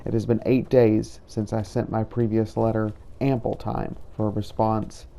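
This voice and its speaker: पुरुष द्वारा निभाया गया गंभीर, भारी आवाज़ वाला वैम्पायर शिकारी. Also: male voice, lowish voice, level tone, moderate pace, vocal fry, warm tone. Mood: pensive, anxious. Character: brooding male vampire hunter narrating his journal. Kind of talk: first-person journal narration, fantasy roleplay.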